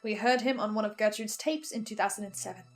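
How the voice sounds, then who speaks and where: A woman hosting a scripted lore analysis, speaking in a dramatic, halting, questioning way with theatrical asides. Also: mid-range voice. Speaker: host. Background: faint music.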